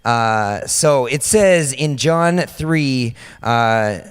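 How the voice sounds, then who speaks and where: Young male pastor, casual sermon, slightly hoarse, smooth voice on a handheld mic in a reverberant hall. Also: mid-range voice, animated, drawn-out words. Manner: loose, chatty, many fillers and restarts. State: voice partly lost, slightly hoarse. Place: large dark stage in a church hall.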